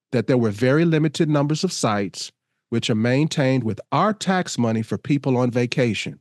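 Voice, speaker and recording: A man's voice: mid-range voice, no echo, clean studio sound. A man co-hosting a casual podcast, a little congested, laughing often and reading an article aloud. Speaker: podcast co-host. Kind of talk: casual podcast chat over video call.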